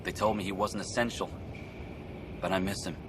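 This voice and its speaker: A man's voice: low voice, steady tone, normal speed, lightly acted. A man playing a harried, dutiful station official in a video game, officious but hesitant. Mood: softened, resigned. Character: harried, dutiful male station official, soft-hearted father. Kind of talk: video game cutscene acting. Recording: clear outdoor-like ambient noise.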